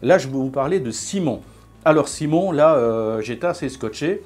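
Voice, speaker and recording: A man's voice: medium pitch, animated, normal speed, a little mellow, soft background music. A man, talking casually to camera in a vlog, hesitant, with many fillers and restarts. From Belgium. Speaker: vlogger.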